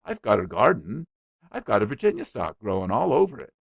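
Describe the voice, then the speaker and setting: A man's voice: fairly low pitch, huge pitch swings, a little fast, gravelly, breathy edge, no echo, highs cut off, heavily acted. A man voicing a stubborn, boastful small boy who chatters eagerly. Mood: eager boasting.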